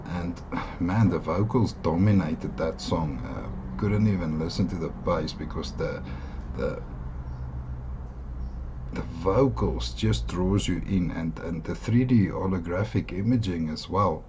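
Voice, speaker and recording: A South African man with a very low voice talks through an earphone review in a loose, halting way, full of "um" and "uh" and restarted phrases. His first language is Afrikaans. The recording sounds dull and lo-fi, with a faint hiss underneath. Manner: halting, many fillers and restarts, enthusiastic asides. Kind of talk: casual product review.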